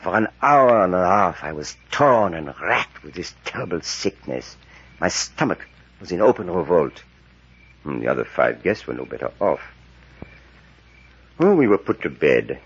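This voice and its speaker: An actor playing a grave, secretive professor in 1940s radio drama style, speaking in a deliberate, dramatic way. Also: male voice, low-pitched, big pitch swings, husky, exaggerated voice. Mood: haunted, dramatic. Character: grave, secretive male professor recounting horrors. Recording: dull vintage radio recording.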